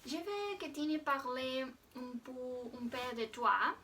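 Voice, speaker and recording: High, slow-spoken woman host. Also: animated, recorded from a distance, slight background noise. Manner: slow, careful, simple questions with soft murmured acknowledgements. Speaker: host interviewing a childhood friend. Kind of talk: scripted interview questions in learner French.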